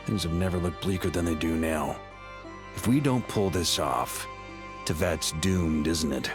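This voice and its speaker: An actor playing a charming, roguish knight in a video game cutscene, with a wry, casual and expressive delivery. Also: male voice, sits low, a little slow. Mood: gloomy. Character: roguish charming grand-master knight, self-deprecating under pressure. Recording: music behind the voice.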